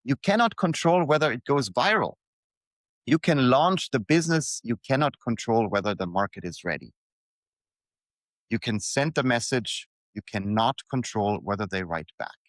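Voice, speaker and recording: A man reading his own reflective motivational piece aloud in deliberate short phrases, leaning on repetition for emphasis. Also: medium pitch, animated, dry recording, studio quality.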